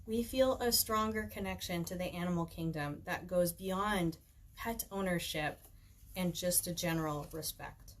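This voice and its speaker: A woman's voice: fairly low pitch, unhurried. A woman author reading her own book aloud at home in an informal, conversational way. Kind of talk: reading aloud with casual chatty asides. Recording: clear background noise, home recording.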